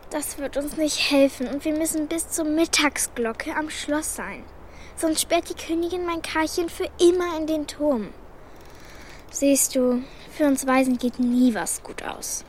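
A woman's voice: sits high, measured pace, slight background noise. A woman voicing a plucky, bossy orphan girl in a children's radio play, blunt and pert in manner. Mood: worried, glum.

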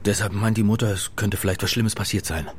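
A man's voice: fairly low pitch, fairly even intonation, fast talker, a little hiss or hum, lightly acted. A man playing a worried, flustered father in a radio play, hesitant and stammering as he talks. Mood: worried, earnest. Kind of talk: naturalistic radio play acting.

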